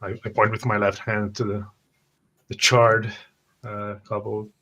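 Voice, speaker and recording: A man playing a tabletop roleplay, speaking and acting as his character in short, halting phrases. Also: fairly low pitch, level tone, fairly bright tone. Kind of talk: in-character first-person roleplay.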